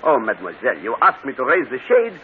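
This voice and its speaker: An actor playing a shrewd, courteous, self-assured detective with a French accent, precise and theatrical, in an old radio mystery. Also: male voice, mid-range voice, huge pitch swings, fast. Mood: triumphant, mocking. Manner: theatrical, precise, mock-polite. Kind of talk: 1940s radio mystery acting. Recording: tinny, hissy old radio broadcast.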